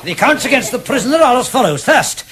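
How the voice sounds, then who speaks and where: An actor with a British accent playing a pompous, easily outraged judge in a 1970s radio comedy drama. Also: male voice, very high voice, sing-song, quick pace, a crowd in the background. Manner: pompous, theatrical. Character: blustering, easily outraged judge at a comic trial.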